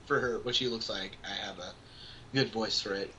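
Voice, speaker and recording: A young man with a strikingly bright, sharp voice chips in casually as a co-player in a let's play. His speech is informal, and the home recording has clear noise behind it. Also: fairly low pitch, steady tone, moderate pace, low-quality recording. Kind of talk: casual chat and interjections.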